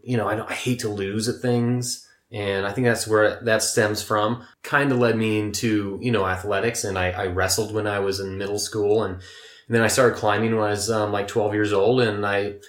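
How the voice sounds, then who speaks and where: A man's voice: fairly low pitch, level tone, moderate pace. An American man in his late thirties, chatting casually about himself on a podcast. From Ohio, United States. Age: late 30s. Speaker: podcast co-host and climber. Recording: roomy, echoing podcast recording.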